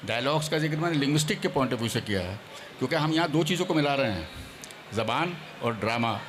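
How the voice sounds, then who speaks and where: A man on a seminar panel speaking formally and deliberately, like a lecturer, dropping in English terms. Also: medium pitch, lively intonation, a bit bright, a little hiss or hum. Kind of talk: formal panel discussion talk.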